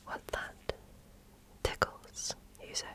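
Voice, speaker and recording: Slow whispering woman in ASMR role-play. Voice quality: soft breathy whisper. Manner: gentle, measured, attentive. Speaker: ASMR performer playing a medical examiner.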